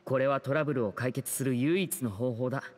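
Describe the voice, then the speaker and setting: A man playing a composed, kind young stage magician in an anime game, speaking in a measured, earnest and soft way. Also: medium pitch, in a large hall, slight background noise, close to an everyday voice. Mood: earnest, careful. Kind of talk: Japanese anime game dialogue acting.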